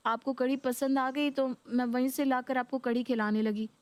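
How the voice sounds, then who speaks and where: A young Indian daughter-in-law from a cartoon story, acted by a woman. She is respectful but fed up, explaining herself in a fairly high voice. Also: steady tone, normal speed, smooth, no room sound, natural voice. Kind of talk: Hindi cartoon story voice acting.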